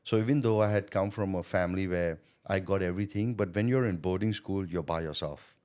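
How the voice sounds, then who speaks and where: Middle aged guy from thailand, really deep voice, chatty and thoughtful, lots of "you know". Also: brisk, a little mellow. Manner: reflective, checks in with "you know" and "right?". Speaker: podcast guest, sales consultant. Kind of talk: casual interview storytelling.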